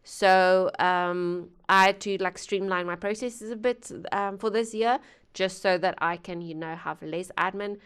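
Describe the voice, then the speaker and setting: A woman's voice: mid-range voice, steady tone, measured pace, fairly bright tone, smooth. A woman hosting a music reaction channel, chatting casually to viewers from her home studio through a gaming headset, rambling with lots of fillers and asides and laughing at herself.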